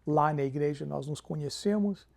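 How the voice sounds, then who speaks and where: Gravelly pastor, warm tone. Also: male voice, on the high side, normal speed. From Canada. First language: English. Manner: peppers Portuguese with English fillers. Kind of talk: interview storytelling.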